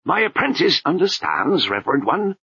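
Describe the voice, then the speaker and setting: An actor playing a stern old male sweeper-monk, gruff, clipped and commanding. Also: on the high side, sing-song, moderate pace, bright, forceful tone, no room sound, dull audio, voice pushed up, heavily acted.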